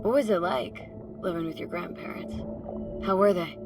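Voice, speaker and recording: A woman plays a laid-back nomad companion from a video game, curious and casually teasing. Her delivery is dry, and loud music and underwater sounds run behind her. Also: on the low side, moderate pace, natural voice. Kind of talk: naturalistic video game acting.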